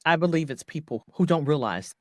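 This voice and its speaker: A woman voiceover artist talking through her business in an interview, halting often and correcting herself mid-sentence. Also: low-pitched, animated, no room sound, clean studio sound. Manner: halting, many restarts and self-corrections. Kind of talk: conversational business coaching interview.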